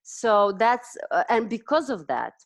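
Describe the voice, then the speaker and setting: A woman's voice: a little high, very animated, measured pace, dry recording. A woman in her forties with a Romanian accent, hosting a conversational interview and often restarting as she searches for words. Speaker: host, dietitian.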